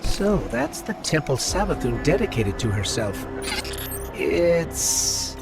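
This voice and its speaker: A man's voice: fairly high pitch, sing-song, takes it slowly, creaky, full character voice. A man voicing an earnest, cautious little robot companion in a video game cutscene, alert and matter-of-fact. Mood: observant. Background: loud game soundtrack music.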